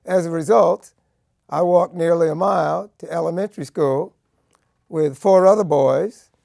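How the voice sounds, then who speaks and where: Older American man, seventies, law professor recounting personal history; unhurried delivery, fry-edged voice. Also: a little high, a little mellow, no room sound, studio quality. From Connecticut, United States. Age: 70s. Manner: deliberate, measured, in short phrases. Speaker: law professor and civil rights lawyer. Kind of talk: personal oral history storytelling.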